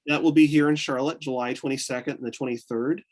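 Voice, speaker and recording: A man's voice: medium pitch, fairly even intonation, fast. Rambling, eager American man with mixed accent. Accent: mixed Southern and Northern US. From upstate New York. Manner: enthusiastic, rambling with many restarts and fillers. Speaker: guest, photographer. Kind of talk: casual interview chat.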